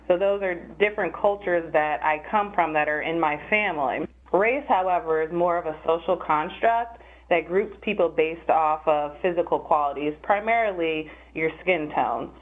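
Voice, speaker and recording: A young adult woman, a physical therapist, answering interview questions in a measured, explanatory way. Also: sits low. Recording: phone-like narrow sound.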